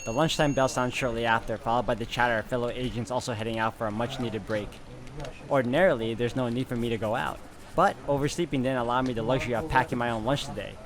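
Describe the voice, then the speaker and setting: A man's voice: medium pitch, fairly even intonation, quick pace, a crowd in the background. A man hosting a gaming video, reading visual novel narration aloud.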